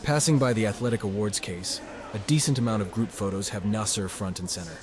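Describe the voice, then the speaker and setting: Man voicing a low-voiced sardonic teenage slacker. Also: fairly quick, a crowd in the background, close to an everyday voice. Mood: dry, sardonic. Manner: sardonic, muttering asides. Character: cynical, self-deprecating male high school student narrator. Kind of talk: first-person scripted narration, visual novel dub.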